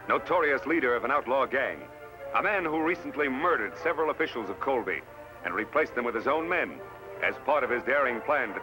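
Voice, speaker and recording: A man's voice: mid-range voice, close to an everyday voice, rough recording. A man narrating an old-time radio western in a formal, declamatory, dramatic scripted style. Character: dramatic storyteller announcer of a western serial. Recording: old radio broadcast recording.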